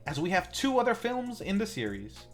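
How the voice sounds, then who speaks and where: Animated film recap narrator over music. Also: mid-range voice, normal speed. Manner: tongue-in-cheek asides. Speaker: film review host. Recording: music under the voice.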